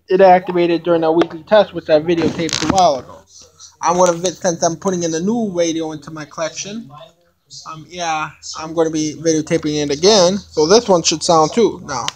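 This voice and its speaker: A young man giving a casual unboxing demonstration, explaining things in a halting, repetitive way into a handheld camera. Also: a little high, a little mellow, poor audio. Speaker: hobbyist video maker. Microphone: handheld camera, no tripod.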